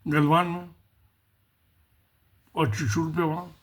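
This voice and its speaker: Husky high-voiced man musing aloud. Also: flat delivery, slow pace. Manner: musing, repetitive, rhetorical questions. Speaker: political commentator. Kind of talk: casual spoken commentary.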